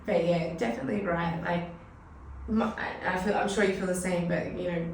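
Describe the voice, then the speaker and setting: Australian woman, 30s, podcast guest; relaxed, reflective talk; very reverberant room, distant mic. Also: on the low side, a little fast, gravelly. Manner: chatty and reflective, many fillers like "you know". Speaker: finance broker, podcast guest. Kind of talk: casual conversational interview. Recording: echoey room, mic at a distance, faint noise.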